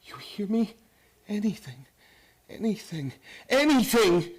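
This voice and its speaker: A man acting an obsessive, high-pitched visionary. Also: sing-song, slow, heavily acted. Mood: feverish, insistent. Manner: intense, lecturing, emphatic with repetition. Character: obsessive, grandiose man convinced of his mind's powers. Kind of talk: radio play acting.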